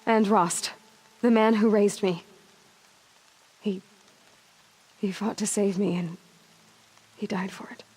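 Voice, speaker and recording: An actress playing a blunt, determined young outcast huntress in a video game, speaking in a direct, terse way. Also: female voice, mid-range voice, steady tone, slow, long pauses, a little mellow, breathy edge. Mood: quiet grief. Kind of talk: video game cutscene acting.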